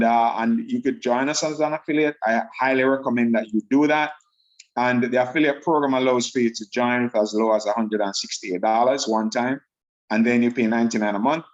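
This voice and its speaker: A man with a Jamaican accent talks quickly and eagerly, stacking up figures and repeating key words. His voice sits at an ordinary middle pitch. It comes through a dull, lo-fi video call line. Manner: fast-talking, enthusiastic, repeats for emphasis. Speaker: online sales presenter.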